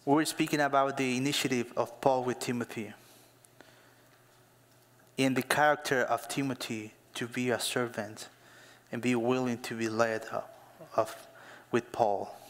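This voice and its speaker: A man in his thirties preaching a sermon as a pastor, speaking as an in a slow, emphatic way that builds to louder calls to action. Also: mid-range voice, flat delivery. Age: 30s. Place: church hall. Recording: long hall reverb.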